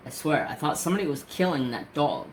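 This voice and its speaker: A man's voice: fairly high pitch, fast talker, slight background noise. A man in his forties chatting casually in a rambling, storytelling way while crafting. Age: 40s. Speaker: crafting vlogger.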